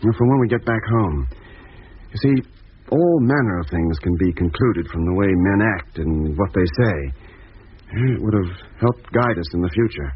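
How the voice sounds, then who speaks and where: An actor playing a thoughtful, mild-mannered spaceship doctor in a 1950s radio drama, measured and conciliatory. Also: male voice, deep voice, expressive, slightly rough. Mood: reflective. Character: thoughtful, mild-mannered male ship's doctor, peacemaking and helpful. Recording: dull, muffled 1950s radio recording.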